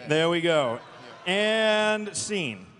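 A man's voice: high voice, very animated, very slow, fairly bright tone. A man in his thirties announcing roles as moderator of a live panel. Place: large convention hall stage. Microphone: handheld or stand microphone. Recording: reverberant hall, slight noise.

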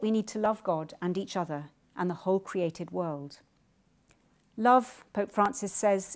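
Older woman bishop, measured earnest lecture voice, mid pitch, lectern mic in a lecture room. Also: darkish tone. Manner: measured, earnest, thoughtful pauses. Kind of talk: formal lecture then answering questions. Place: wood-panelled college lecture room. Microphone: lectern microphone.

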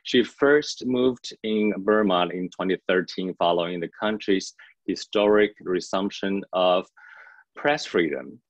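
A young man moderating an online panel, introducing guests in a measured, formal way with small fillers. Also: deep voice, no echo. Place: indoor home office on a video call. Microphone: video call. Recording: dull video call audio.

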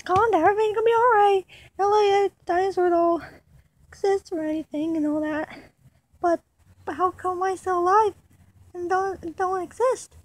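A woman's voice: very high voice, steady tone, normal speed. A woman voicing a lost little boy dinosaur who whines and weeps in a homemade toy skit. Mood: upset. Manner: whiny, weepy.

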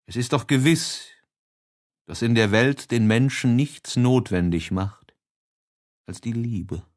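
A man narrating a classic novel as an audiobook, reading expressively with deliberate, dramatic phrasing. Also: mid-range voice.

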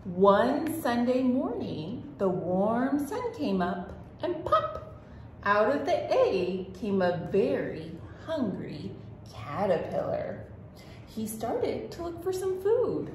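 A woman reads to young children as a storytime host, with a very animated, swooping delivery. She takes her time over phrases and speaks to the children directly with expressive exclamations. The room is strongly echoey. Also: fairly high pitch, takes it slowly. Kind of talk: children's read-aloud storytime. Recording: echoey room with faint noise.

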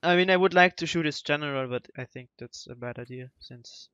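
A young man talks through a strategy game in a casual way, restarting his sentences and grumbling mildly. His voice is clean and smooth, at a middling pitch. The headset sound is very dry and slightly dull. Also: a little fast, fairly bright tone, no room sound. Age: young adult. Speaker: gamer commentating co-op strategy play. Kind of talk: casual gameplay chat. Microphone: headset microphone.

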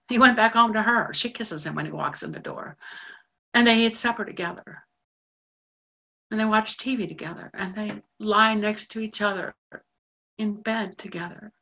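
A woman's voice: mid-range voice, vocal fry, pushed and bright, highs cut off, full character voice. A woman playing a lonely, blunt station janitor. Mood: hurt. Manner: blunt, swearing, working-class, halting. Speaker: actor in a monologue. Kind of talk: stage monologue.

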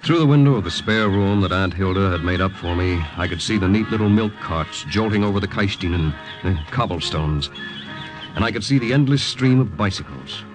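A proper young spy in a vintage radio play, polite and earnest. His voice is low-pitched and measured, with faint music in the recording. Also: animated. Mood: measured narration. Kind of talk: old-time radio drama acting and narration.

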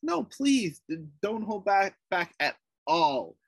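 A man's voice: high voice, very animated, slow pace, breathy edge, dry recording, clean studio sound. A man co-hosting a casual call, chiming in to agree emphatically.

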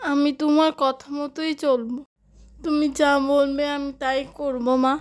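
Woman acting as teenage girl, cartoon, high-pitched, theatrical, whiny, headstrong. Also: steady tone, normal speed, natural voice. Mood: tearful, repentant.

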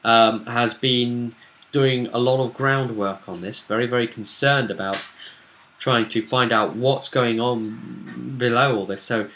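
A British man in his thirties casually recounting a tabletop game to camera in a halting, unscripted way, in a small room at home. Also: medium pitch, fairly even intonation, fairly bright tone, slight background noise. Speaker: game master recapping a tabletop role-play session.

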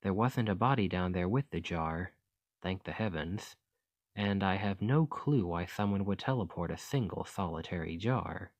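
A man playing a gentle, curious fantasy archaeologist in an ASMR roleplay, speaking in a formal, musing, articulate way. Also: low-pitched, normal speed, no room sound. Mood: musing, fascinated.

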